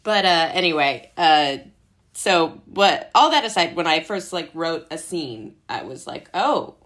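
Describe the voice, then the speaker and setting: An American woman with a low voice talks casually as an interview guest. She rambles conversationally, often breaking off and restarting her thoughts midway. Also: animated, unhurried, a bit bright. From Brooklyn, New York, United States. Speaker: interview guest, playwright and actor. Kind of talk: casual chat.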